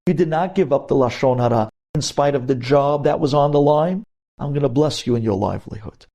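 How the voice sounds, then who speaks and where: A man's voice: mid-range voice, fairly quick, dark tone, highs cut off. A man giving a religious ethics lecture as a rabbi, speaking emphatically and putting rhetorical questions straight to his listeners.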